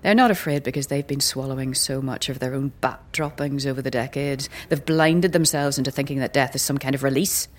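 An actress playing a very old, weary and sardonic priestess in a fantasy audio drama, blunt, with archaic slang and wry chuckles. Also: female voice, rumbling low, brisk, few pauses. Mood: scornful, sardonic. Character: very old striking woman, sardonic, weary, doubting death-cult priestess.

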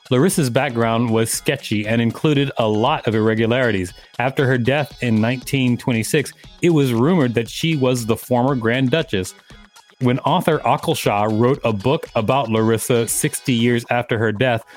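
Male podcast co-host over music. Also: medium pitch. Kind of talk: reading an article aloud with casual commentary.

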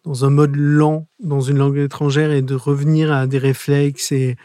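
A man's voice: fairly high pitch, flat delivery, moderate pace, warm tone, dry recording. A man in his forties hosting a podcast interview, hesitant with many fillers, rephrasing his guest's points. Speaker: podcast host. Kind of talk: interview questions.

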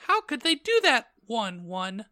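A woman's voice: high voice, lively intonation, a little slow, sharp tone, dry recording, studio quality, exaggerated voice. A woman voicing a melodramatic, easily outraged teenage goth girl in a comic story reading. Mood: overwrought outrage. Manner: exclamatory, overwrought.

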